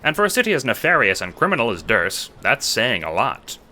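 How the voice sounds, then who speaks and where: A man's voice: mid-range voice, wildly swooping pitch, a little fast, sharp tone. A man narrating a scripted audio drama in the second person, with dramatic storytelling delivery.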